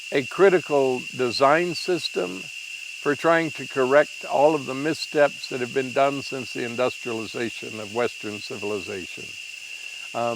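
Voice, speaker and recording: An older man explains his teaching in a slow, halting way, filling gaps with uh and um. His pitch sits in the middle range. Background noise runs clearly beneath him outdoors. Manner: slow, halting, many uh pauses. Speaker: permaculture instructor. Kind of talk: interview to camera.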